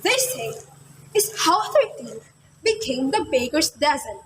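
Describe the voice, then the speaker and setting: A woman reads a children's tale in an extremely high, animated voice. Her pace is slow and scripted, and the recording has clear noise. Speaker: readers theater narrator. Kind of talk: scripted children's story narration.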